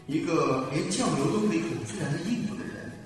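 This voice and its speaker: A man's voice: a little high, lively intonation, a little fast. A man playing a teenage schoolboy's puzzled inner thoughts, with reverb, as a radio drama monologue. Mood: pondering. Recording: distant, heavily echoing reverb with music.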